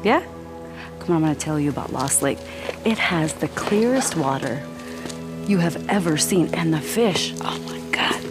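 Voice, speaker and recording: An American actress playing a frank, no-nonsense woman survivor with a gentle side in a video game. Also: low-pitched, animated, normal speed, over music. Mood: gentle coaxing. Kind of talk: naturalistic cinematic game acting.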